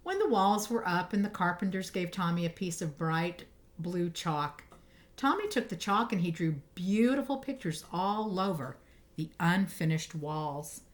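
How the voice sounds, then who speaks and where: Woman reading a children's storybook, lowish voice. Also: animated, unhurried. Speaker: storybook reader. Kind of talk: reading a children's picture book aloud.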